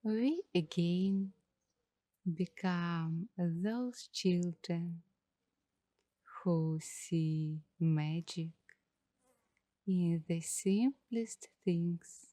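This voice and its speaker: A woman speaking in a soft, hushed, partly whispered voice as an ASMR host, giving meditative narration in short phrases with long pauses. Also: on the low side, no room sound.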